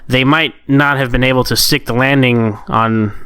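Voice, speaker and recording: A man's voice: mid-range voice, steady tone, normal speed, bright, forceful tone, clean tone, dry recording, clean studio sound. A man talking casually and chattily, as a guest reviewer on a podcast.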